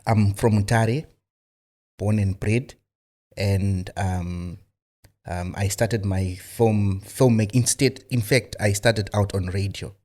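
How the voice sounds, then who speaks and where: A man's voice: on the low side, fairly even intonation, slow pace, darkish tone. A man from Zimbabwe, a filmmaker guest in a studio interview, talking casually with many fillers and restarts.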